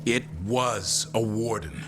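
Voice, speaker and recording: An actor playing a blunt, impatient but dutiful monster hunter in a video game, speaking in terse, informal phrases. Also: male voice, fairly low pitch, slow. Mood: grim realization. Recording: music behind the voice.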